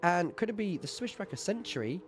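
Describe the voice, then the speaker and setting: A man's voice: sits high, a crowd in the background. Sore-throated male race commentator. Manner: brisk play-by-play, gets excited at overtakes. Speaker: sim racing commentator. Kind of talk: live esports race commentary.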